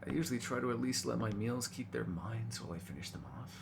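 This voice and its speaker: A man voicing a teasing, sarcastic vampire with a gravelly, husky voice in an ASMR roleplay. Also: mid-range voice, sing-song, fairly quick, background noise. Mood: low, confessing. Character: snarky, teasing male vampire captive, predatory yet fond. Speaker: voice actor in audio roleplay.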